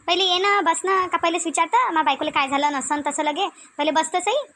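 A high-pitched cartoon matriarch played by a woman with a Varhadi Marathi accent. She is proud, nagging and quick with sarcasm. Every remark comes out like a scolding from the head of a village household. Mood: mocking. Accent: Varhadi Marathi of Vidarbha. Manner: sharp-tongued, nagging, sarcastic. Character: proud, scolding, sarcastic middle-aged mother-in-law. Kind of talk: comic village family cartoon dialogue.

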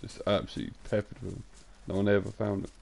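Casting: young lad, croaky and fairly low, cheeky crude streamer banter, faint hiss behind. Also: male voice, flat delivery, quick pace, darkish tone. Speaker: gaming streamer. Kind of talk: casual live-stream banter.

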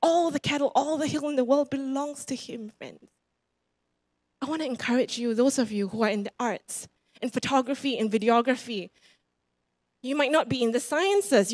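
A woman's voice: high-pitched, moderate pace, dry recording, clean studio sound. A woman in her thirties with a Singaporean accent, preaching a sermon on a church stage into a handheld mic. She moves about and gestures as she tells personal stories, and she repeats phrases for emphasis. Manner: animated, roams the stage gesturing. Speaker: guest preacher. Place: church stage before a congregation.

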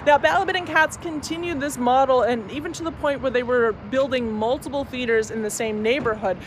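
A woman's voice: high voice, fairly quick. A young woman tour guide giving an enthusiastic walking-tour talk outdoors on a city street, filmed on a phone held at arm's length. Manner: chatty and enthusiastic, with asides. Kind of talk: walking-tour presentation to camera. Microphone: phone camera at arm's length. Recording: outdoor street noise with traffic.

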